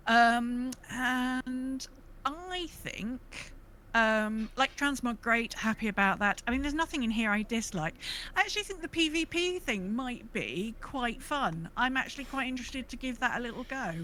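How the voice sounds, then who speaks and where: A woman's voice: fairly high pitch, animated, a little slow, pushed and bright. Chatty woman with noise behind her. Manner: chatty, enthusiastic. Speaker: podcast co-host. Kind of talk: casual gaming podcast chat.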